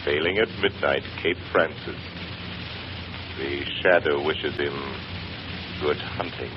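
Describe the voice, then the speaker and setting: An actor playing a mysterious unseen male avenger in a 1930s radio drama, speaking in a measured, menacing way. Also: extremely low pitch. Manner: measured, menacing, with a sinister laugh. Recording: dull old radio broadcast with hiss.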